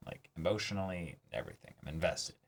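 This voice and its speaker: A man co-hosting a reaction show, talking casually and informally in a halting but emphatic way. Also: deep voice, sing-song, vocal fry.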